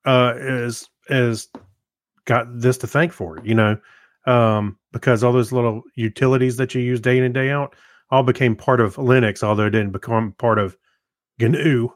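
A middle-aged man co-hosting a podcast, talking through tech topics in a halting, loosely phrased way. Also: mid-range voice, fairly even intonation, dry recording, studio quality. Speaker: podcast co-host. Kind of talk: casual tech chat.

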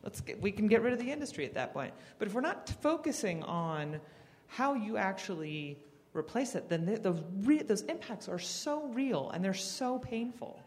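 A panelist from Texas who speaks bluntly and casually, with lively swings in tone. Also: mid-range voice, normal speed, big-room sound. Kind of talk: panel discussion.

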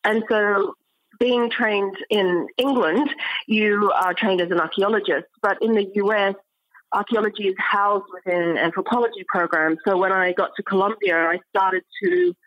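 A middle-aged woman speaking as an academic interview guest, explaining things in an articulate, measured way. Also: medium pitch, dry recording, highs cut off. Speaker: interview guest, archaeology professor. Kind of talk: thoughtful academic interview talk.

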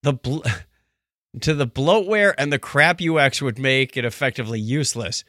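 A man co-hosting a casual podcast, reading listener comments aloud with a jokey, animated manner. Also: mid-range voice, normal speed, dry recording, studio quality. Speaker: podcast co-host.

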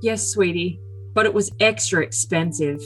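A woman's voice: fairly low pitch, moderate pace, close to an everyday voice, low-quality recording. A woman voicing an earnest, besotted young man with a solemn, stilted manner in an overly dramatic comic message reading. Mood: earnest, concerned. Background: music.

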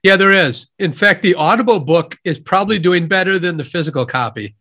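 Brief: Midwestern American man, nasal, high and bright-toned, measured explaining. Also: animated. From Wisconsin, USA. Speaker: guest economist. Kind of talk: podcast interview, explaining ideas.

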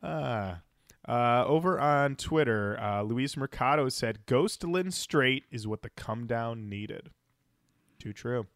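A man's voice: medium pitch, lively intonation, takes it slowly, a bit bright, no echo, clean studio sound. A man co-hosting a podcast, chatting informally with wry asides and reading out listener comments. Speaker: podcast co-host. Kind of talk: casual podcast chat, reading out listener feedback.

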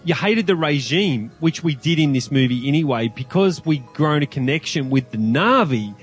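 A man's voice: fairly high pitch, lively intonation, normal speed, faint music behind. A man as a podcast guest in casual film discussion, rambling and stammering as he searches for words. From Australia.

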